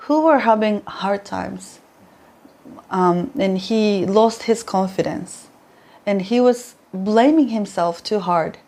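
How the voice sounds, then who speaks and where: A woman's voice: mid-range voice, dark tone. A young Japanese woman with a Japanese accent, telling stories in a casual interview and laughing often. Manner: chatty, laughs often, playful storytelling. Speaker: former reporter, interview guest.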